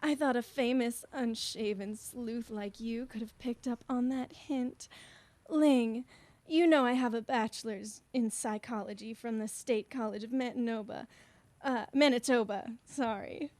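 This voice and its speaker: A woman acting as a flirty landlord in a noir radio parody. The voice is a little high, coy and knowing, leaning on every innuendo. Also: a little slow, a little mellow, dry recording, close to an everyday voice. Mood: teasing, knowing.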